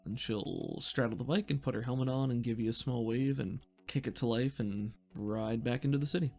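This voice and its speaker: Creaky-voiced man narrating as game master. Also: mid-range voice, fairly quick, darkish tone, soft background music. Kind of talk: narrating a tabletop roleplaying game.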